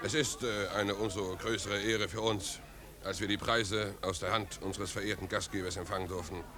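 An actor playing an awkward vagrant who speaks with halting, stiff formality in a 1950s German radio play. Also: male voice, on the low side, level tone, natural voice. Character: awkward vagrant attempting a polite formal speech. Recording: 1950s radio recording, crowd behind. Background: party crowd.

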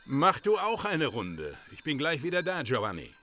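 A man's voice: a little high, huge pitch swings, moderate pace, pushed and bright, full character voice. An actor playing a brusque, suspicious mafia errand man, curt and commanding, in a radio crime drama. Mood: casual.

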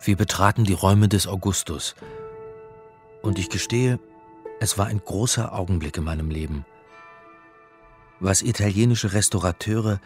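An actor playing a reflective man who narrates his own adventure in a radio play, in measured literary style. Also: sits low, close to an everyday voice. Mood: awed, reflective. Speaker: first-person narrator.

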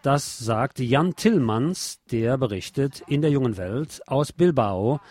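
A man presents the news on a radio show. He reads reports in a mid-pitched voice and slips in sardonic, opinionated asides between items. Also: expressive, takes it slowly, a little mellow. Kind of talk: news reading with opinionated commentary.

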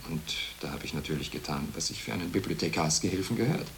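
Radio drama monk: evasive careerist scholar, animated delivery, fairly low, faint hiss behind. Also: male voice, quick pace, creaky. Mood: confessing, hurried. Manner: guarded, self-justifying.

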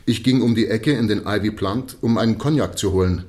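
A man's voice: fairly low pitch, fairly quick, a little mellow, natural voice. An actor playing a deferential, sheepish police constable in a radio drama, respectful and plain-spoken as he explains himself. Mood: sheepish, explaining.

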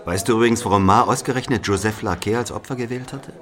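Man acting very deep-voiced, brooding aging narrator. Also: fast talker, fairly bright tone, soft background music, lightly acted. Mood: sardonic, brooding. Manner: brooding, reflective, confiding. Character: aging Algerian man bitterly recounting his past to a listener. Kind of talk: dramatic first-person narration in German.

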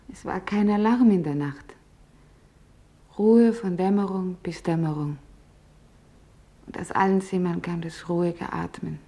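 Low-voiced, haunted woman remembering. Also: vocal fry, a little mellow. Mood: hushed, remembering. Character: haunted female office worker recalling wartime nights. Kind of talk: dramatised testimony in a radio feature.

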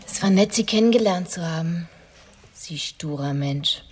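A woman's voice: fairly low pitch, expressive, moderate pace, slightly rough. An actress playing a cool, intense, wealthy woman in a radio crime drama, formal and reserved in her delivery. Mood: reserved, curt.

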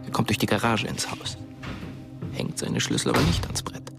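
An actor playing a tense, somber narrator in a radio drama, with a gravelly voice and measured, ominous delivery. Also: male voice, mid-range voice, close to an everyday voice. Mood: tense, secretive. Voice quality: gravelly, creaky. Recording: radio drama with music underneath.

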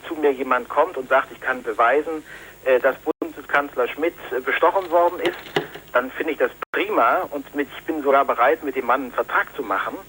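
A man's voice: mid-range voice, fairly quick, sharp tone. A man, a magazine editor, speaking firmly and defensively over the phone, hesitating often with ums. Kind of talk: phone interview. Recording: tinny phone line with faint noise.

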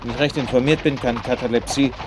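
An actor playing a confident, probing gentleman detective with precise, assured delivery in a German radio drama. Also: mid-range voice, fairly even intonation, quick pace, noisy background, natural voice. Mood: assured, explanatory.

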